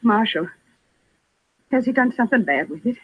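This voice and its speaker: A woman's voice: fairly high pitch, lively intonation, moderate pace, slightly rough, no echo. A woman playing a weary, plaintive mother of a wayward boy in a 1950s western radio drama. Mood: pleading. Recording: tinny, narrow-band old radio recording.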